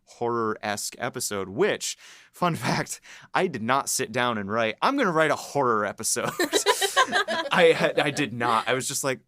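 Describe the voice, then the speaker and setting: A man's voice: mid-range voice, normal speed, no room sound. A man co-hosting a podcast, explaining his writing in casual chat with many fillers and bursts of laughter. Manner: animated, lots of fillers, laughs while explaining. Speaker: writer and podcast co-host. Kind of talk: casual behind-the-scenes chat.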